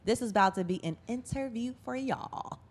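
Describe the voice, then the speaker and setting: She is a woman with a voice a bit higher than most, hosting a radio interview. Her delivery is brash and playful, full of slang and easy profanity. The whole thing sounds like flirty, casual banter with a guest. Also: moderate pace. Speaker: radio show host interviewing an artist.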